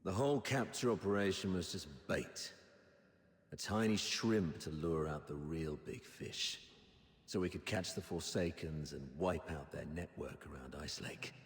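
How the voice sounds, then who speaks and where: A man's voice: low voice, unhurried. A man playing a sneering, menacing military officer in dramatic game voice acting. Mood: smug, menacing. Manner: mocking, menacing. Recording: echoey hall reverb.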